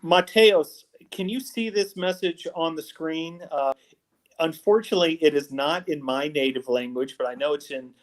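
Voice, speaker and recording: Middle-aged man, host, fairly high voice, upbeat, lots of uh, video call. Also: moderate pace. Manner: upbeat, many uh fillers. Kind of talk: college sports video-call show. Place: office with wall logo.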